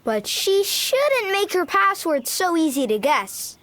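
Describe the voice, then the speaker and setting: A woman's voice: extremely high pitch, big pitch swings, takes it slowly, fairly bright tone, slight background noise, full character voice. A woman voicing a blunt, cheeky, matter-of-fact young child in a cozy video game. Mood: cheeky, unrepentant.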